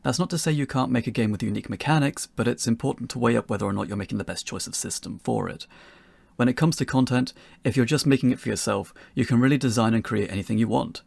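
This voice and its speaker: A man's voice: medium pitch, quick pace, creaky, a little mellow, no room sound. A man co-presenting a scripted, pre-recorded instructional talk as an amateur developer.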